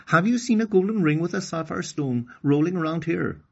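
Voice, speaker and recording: A man's voice: fairly high pitch, animated, a little fast, creaky, a little mellow, husky, pitched above the performer's own voice, full character voice. An Irish man voicing a polite, earnest little village girl for a storybook, in a sing-song manner. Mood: questioning, earnest. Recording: slightly dull lo-fi recording.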